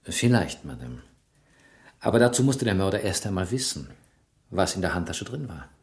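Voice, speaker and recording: An actor in a German radio play, playing a calm police inspector who asks questions in a polite, measured way. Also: male voice, low-pitched. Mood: probing.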